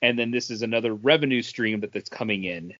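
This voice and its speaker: Bright, forceful man in dry podcast chat. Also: mid-range voice, fast talker, no room sound. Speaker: podcast co-host. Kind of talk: casual chat, animated explaining. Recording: dull, dry lo-fi audio.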